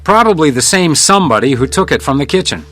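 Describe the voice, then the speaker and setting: An actor playing a composed, world-weary hotel house detective in a noir radio drama, wry and probing. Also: male voice, a little high, animated, quick pace, a bit bright, no room sound, faint music behind. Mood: wry, pressing. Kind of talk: radio drama acting with first-person noir narration.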